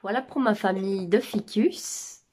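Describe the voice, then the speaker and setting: A woman in her thirties with a cold and a nasal voice, chatting casually about her houseplants at home, pausing often with filler sounds. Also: medium pitch, mellow. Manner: hesitant, many filler sounds. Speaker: plant vlogger. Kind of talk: casual chat. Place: home living room.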